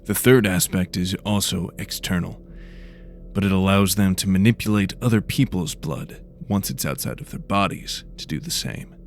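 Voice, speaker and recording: Man playing a gravelly, blunt fantasy detective. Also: low-pitched, moderate pace, slight background noise. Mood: grim, explanatory. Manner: blunt, demanding. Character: brusque, agitated male investigator of magical murders. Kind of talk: dramatized fantasy audio story.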